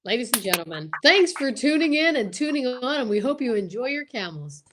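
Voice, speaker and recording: A woman's voice: fairly high pitch, sing-song, a little fast, noisy background. A woman co-hosting an online show, chatting casually and reading old radio scripts in an exclamatory, joking manner. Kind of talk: casual chat and mock announcer script reading. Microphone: video call or livestream.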